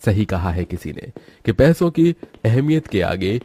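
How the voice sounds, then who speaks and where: A man's voice: on the low side, very animated, a little slow, warm tone, no room sound, faint music behind. A man narrating a scripted moral story as a storyteller.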